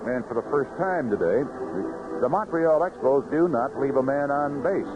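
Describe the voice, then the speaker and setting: An American man calling baseball play-by-play live on the radio in clipped phrases, in an animated manner. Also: medium pitch, normal speed, over music. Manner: animated calls rising sharply on big plays, clipped phrases. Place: ballpark broadcast booth. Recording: noisy, muffled vintage AM radio broadcast.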